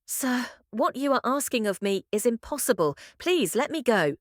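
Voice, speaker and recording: A woman's voice: fairly high pitch, big pitch swings, moderate pace, no room sound, studio quality. Anguished devoted young wife, voiced by a woman. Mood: anguished pleading. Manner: pleading, earnest.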